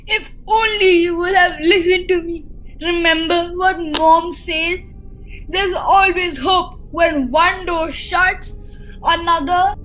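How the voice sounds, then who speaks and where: A woman's voice: extremely high pitch, fairly even intonation, slow pace, fairly bright tone, a little hiss or hum, full character voice, poor audio. A woman playing a nervous, polite young boy with a stammer in a radio play. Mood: pleading. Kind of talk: amateur radio play acting.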